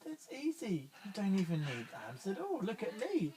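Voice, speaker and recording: A storyteller voicing a calm, knowing male sea snail. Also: mid-range voice, moderate pace, voice pushed up. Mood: surprised.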